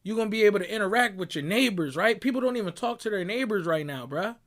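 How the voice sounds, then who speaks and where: A young man from Barbados with a notably high voice delivers a motivational monologue. He talks in an emphatic, preachy way, tagging his points with "right?" and "bruh" as he drives them home. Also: animated, fast, a bit bright. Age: young adult. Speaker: motivational speaker. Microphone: audio clip recording.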